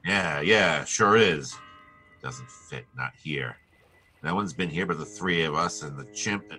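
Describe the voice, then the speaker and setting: An actor playing a wisecracking hard-boiled private detective in 1940s radio style, with a rough-edged voice. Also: male voice, extremely low pitch, measured pace, pushed and bright, faint music behind, exaggerated voice. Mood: wry, brisk. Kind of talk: 1940s radio detective drama acting and narration.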